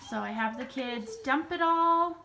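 American woman, 30s, vlogging mother, casual chat, higher pitch, very noisy handheld phone sound. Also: unhurried. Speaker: mother filming family vlog. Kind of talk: casual home vlog chat. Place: inside a family home.

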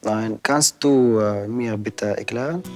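Young moroccan guy, arabic is his first language, halting with lots of pauses, faint guitar behind. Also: fairly low pitch. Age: 20s. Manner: hesitant, many pauses and fillers. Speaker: footballer. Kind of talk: conversational interview. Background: faint guitar music.

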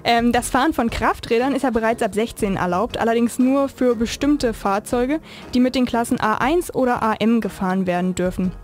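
A woman co-hosts a radio show in a fairly high voice, with music faintly behind her. She moves between prepared explanations and informal chat. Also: moderate pace, hardly any pauses. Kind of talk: informal radio moderation, partly scripted.

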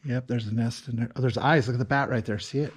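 A man's voice: medium pitch, steady tone, quick pace, breathy edge. A middle-aged man hosting a casual vlog, pointing things out as he walks through a cave and filming on a handheld camera. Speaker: vlog host. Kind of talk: casual narration while exploring. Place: inside a lava tube cave. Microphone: handheld action camera.

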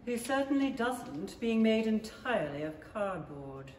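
A woman playing the storybook narrator of a 1930s-style radio play, measured and expressive. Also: fairly high pitch. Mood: dry, measured. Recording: roomy, distant stage pickup, faint noise.

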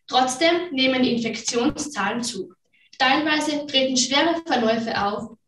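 A woman's voice: fairly high pitch, a bit bright, slight background noise. A young woman narrating dates and events in a matter-of-fact, newsreader-like way in a school play. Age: teens. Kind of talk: announcing dates and news like a bulletin. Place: large school classroom. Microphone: far camera. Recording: roomy, distant classroom recording.

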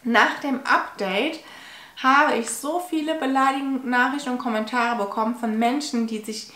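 A woman in her forties talking to camera at home in a personal vlog, nasal from a cold, tired and halting with restarts. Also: a little high, a bit bright. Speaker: vlogger. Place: home living room, indoors. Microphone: fixed camera at medium distance. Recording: echoey room.

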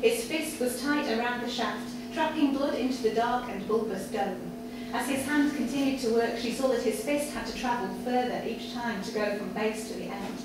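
A woman's voice: fairly high pitch, moderate pace, hardly any pauses. A woman reading a story aloud to a live audience in an indoor cafe bar, recorded from a far camera. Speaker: reader at a live literary event. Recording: echoey noisy room, far from microphone. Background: audience ambience and background noise.

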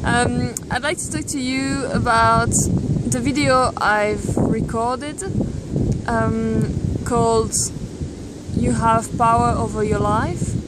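Slow, hesitant woman vlogging on a windy beach. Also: fairly high pitch, steady tone. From Belgium. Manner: thoughtful, hesitant, many ums and restarts. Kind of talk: casual selfie vlog. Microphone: camera at arm's length. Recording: wind noise on the mic.

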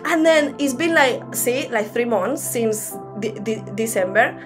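High-pitched young Spanish-accented woman preaching informally. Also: lively intonation. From Spain. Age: young adult. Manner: halting, conversational, earnest. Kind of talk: informal teaching with personal testimony. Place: small room at home. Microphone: laptop on a video call. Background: music.

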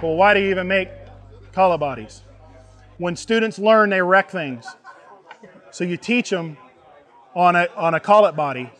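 Middle-aged man, high-pitched voice, trade instructor, folksy plain-spoken explaining, live group class, outdoors under a canopy. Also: fairly bright tone. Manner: plain-spoken, folksy, checks listeners follow with questions. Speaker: welding instructor. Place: outdoors under an open tent canopy before a seated group.

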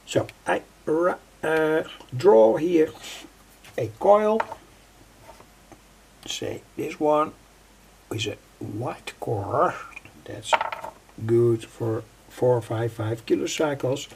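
An older man giving an unscripted hands-on tutorial in a halting, deliberate way, often repeating his phrases. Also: fairly high pitch, lively intonation, darkish tone. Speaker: electronics hobbyist presenter. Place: indoors at a home workbench. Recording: clearly noisy home recording.

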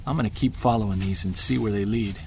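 An actor playing a Bigfoot hunter on an expedition, talking low and excited into his field recorder, with faint outdoor sound behind him. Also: fast, creaky, slight background noise. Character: obsessed male Bigfoot hunter alone in woods. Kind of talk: audio drama field-recorder monologue. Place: woods at night and day.

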